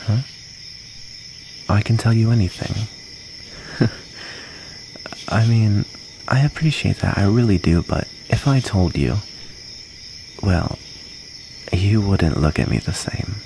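Low, gravelly, hesitant young vampire played by a man. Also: animated, exaggerated voice. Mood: sorry, hesitant. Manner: hesitant, halting, soft-hearted delivery. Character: shy, wounded, gentle outcast young male vampire. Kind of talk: ASMR comfort roleplay. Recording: noisy, cricket ambience behind.

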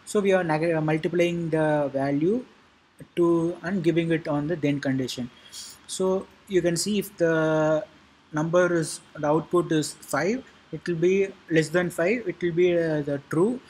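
A man narrating an informal software tutorial in a halting, repetitive way, with a husky voice. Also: on the high side, low-quality recording. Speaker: tutorial presenter.

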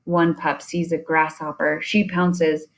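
A woman reading a children's nonfiction picture book aloud in a deliberate way, with chatty asides. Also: low voice, little pitch movement. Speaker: reader of children's science book.

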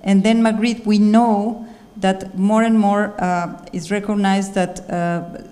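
A woman's voice: medium pitch, little pitch movement, slow pace, warm tone. A woman moderating a stage panel, her voice carrying with a long hall reverb. She speaks enthusiastically but hesitates often, stringing her questions together through many fillers. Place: theater stage at a panel event. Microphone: handheld mic.

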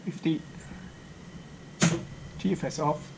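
A middle-aged man with phrasing explains a home-built cockpit calmly and step by step, talking off screen near the camera. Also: on the high side, slow pace. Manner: calm, step-by-step, non-native phrasing. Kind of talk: hands-on demonstration. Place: home workshop with a simulator cockpit. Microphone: camera mic, speaker off screen. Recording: clear background noise.

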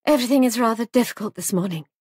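A woman narrator acts a spirited young hostess in a storybook. She speaks lightly and briskly with pointed politeness and quiet defiance. The voice is a little husky, recorded dry. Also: a little high, lively intonation, dry recording, pitched above the performer's own voice. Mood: lightly defensive.

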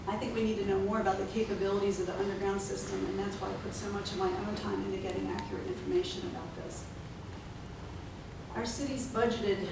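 A middle-aged woman reads a formal, measured statement at a council podium. Her voice rings through a very echoey chamber and sits back from the microphone, with a clear hiss of room noise underneath. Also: mid-range voice. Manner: measured, reading from notes, formal. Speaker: resident giving public comment. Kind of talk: prepared statement read at a podium. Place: city commission chamber. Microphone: podium gooseneck mic. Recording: echoey chamber, mic at a distance, noisy.

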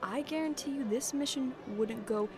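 Actress as high-voiced young adventurer woman. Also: moderate pace, over music. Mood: placating. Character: easygoing, capable young human woman adventurer. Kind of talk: audio drama acting.

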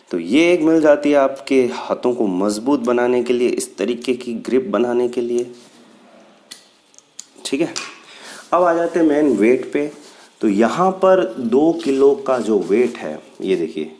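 चैटी युवा आदमी, अनबॉक्सिंग करते हुए. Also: male voice, medium pitch, a little mellow. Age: young adult. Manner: chatty, many filler sounds, English words mixed into Hindi. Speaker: tech YouTuber unboxing gym gear. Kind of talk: casual unboxing vlog. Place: small indoor room with a tiled wall.

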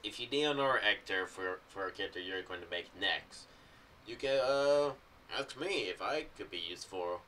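A young American man giving casual, meandering commentary to camera in a halting, loose way. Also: on the low side, lively intonation, sharp tone, distant mic, slight background noise. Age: young adult. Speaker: video creator giving theories on an audio drama series. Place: small room indoors. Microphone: boom-arm condenser with pop filter, off to the side.

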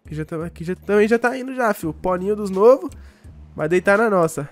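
A man talking in a casual way as he plays a roleplay game. He has a cold and throws in street slang and quick shouts. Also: high-pitched, lively intonation. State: congested with a cold. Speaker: roleplay streamer. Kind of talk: casual gameplay chat. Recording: music playing behind the voice.

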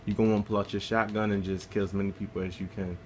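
Low-voiced dude narrating his gameplay, flat and laid-back, cusses a lot. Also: rapid-fire, slight background noise. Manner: laid-back, swears casually, talks through his play. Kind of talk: casual game walkthrough commentary.

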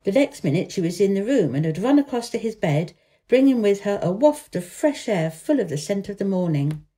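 A woman narrates a children's story from the page. Her voice is soft-edged and mellow, at a middling pitch for a woman. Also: big pitch swings, brisk. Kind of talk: scripted narration of a children's novel.

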